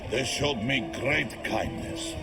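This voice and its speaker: A man's voice: medium pitch, expressive, slow, loud music behind the voice. An actor playing an earnest, big-hearted heroic man in a video game, speaking in a grand, reassuring manner. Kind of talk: video game character acting.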